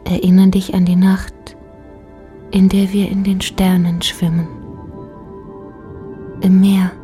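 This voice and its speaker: Soft ambient music sits behind an actress playing a ghostly, dreamy woman. She chants her radio drama lines very slowly and evenly, half whispering in a dark, hypnotic incantation. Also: medium pitch, flat delivery, close to an everyday voice. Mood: tender, hypnotic. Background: ambient music with singing bowls.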